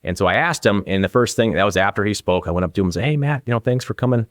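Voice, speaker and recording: A man's voice: on the low side, very fast, no room sound, studio quality. A man in his forties with an Upper Midwestern American accent, talking casually and anecdotally as an interview guest. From northern Minnesota, USA. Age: 40s. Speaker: guest, real estate agent.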